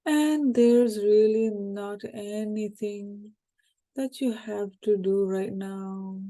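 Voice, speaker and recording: Woman doing guided hypnosis, super slow, really mellow dark voice, calm n gently suggestive. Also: mid-range voice. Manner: calm, unhurried, gently suggestive, short phrases with pauses. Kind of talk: guided meditation, soothing hypnosis narration.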